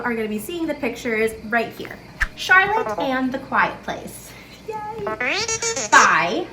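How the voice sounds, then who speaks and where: Roomy distant recording, high-voiced woman, children's story-time host, playful, expressive read-aloud. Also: noisy background. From lives in New York City. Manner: chatty, playful, asks young listeners questions. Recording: roomy, distant mic with loud music.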